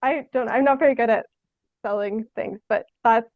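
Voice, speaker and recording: A young woman chats as an interview guest, her voice slightly high and heard through a dull, dry recording. She is tentative and self-effacing, often trailing off mid-thought. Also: moderate pace, no room sound. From Ontario, Canada. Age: young adult. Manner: hesitant, self-effacing, giggly. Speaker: interview guest, theatre artist. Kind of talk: casual interview chat.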